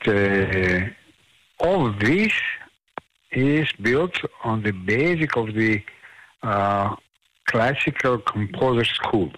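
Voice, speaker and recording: A middle-aged conductor from Armenia, a non-native speaker, talking slowly with many fillers and restarts in a radio interview. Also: male voice, on the low side, fairly bright tone, dry recording, low-quality recording. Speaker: conductor and pianist.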